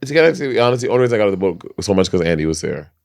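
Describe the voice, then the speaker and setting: Chatty low-voiced man, campy and animated. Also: very fast, vocal fry, no room sound, studio quality. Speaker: podcast co-host. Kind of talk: casual chat.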